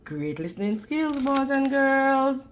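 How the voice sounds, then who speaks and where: A woman reading a children's storybook aloud like a teacher, deliberate and instructional toward young listeners. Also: high-pitched, very animated, a little hiss or hum. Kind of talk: children's storybook read-aloud with prompting questions.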